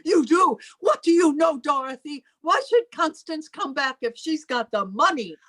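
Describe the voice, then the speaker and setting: A woman's voice: high-pitched, expressive, normal speed, fairly bright tone. A woman playing a bitter, controlling older sister in old time radio melodrama, theatrical and imperious in her delivery. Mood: accusing.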